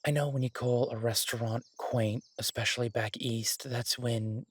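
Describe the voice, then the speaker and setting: A man playing an easygoing, earnest chef boyfriend in an intimate roleplay audio drama, talking casually and haltingly with a husky, reassuring voice. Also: mid-range voice, steady tone, dry recording, lightly acted. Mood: casually enthusiastic.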